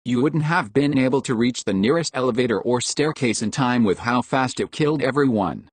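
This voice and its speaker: Man acting as husky, animated accusing lab worker. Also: mid-range voice, fairly quick, dry recording. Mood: suspicious, probing. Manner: pointed, insistent questioning. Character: accusing male lab employee named Zachary, confrontational, suspicious. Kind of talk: amateur audio drama acting.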